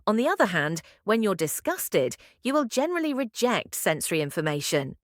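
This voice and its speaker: A woman reading a practice passage aloud in a measured, explanatory way. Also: medium pitch, sing-song, no echo, studio quality. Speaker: read-aloud model reader.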